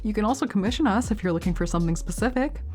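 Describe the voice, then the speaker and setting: A woman's voice: medium pitch, expressive, very fast, darkish tone, soft background music. A woman hosting an audio channel, giving an upbeat, informal outro in a casual style.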